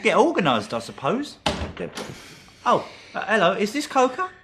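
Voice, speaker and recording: A man's voice: high-pitched, wildly swooping pitch, moderate pace, noisy background. An actor playing a cheery, easygoing working-class Englishman in a radio drama, chatty, colloquial and given to chuckling. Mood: amiable.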